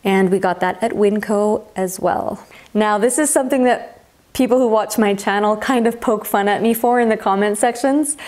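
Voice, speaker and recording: A woman's voice: medium pitch, moderate pace, a little mellow. A young woman talking to camera in her home kitchen on a lapel mic, chatty and upbeat as she shows her groceries. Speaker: healthy eating vlogger. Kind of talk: casual talk to camera. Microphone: clip-on lapel mic.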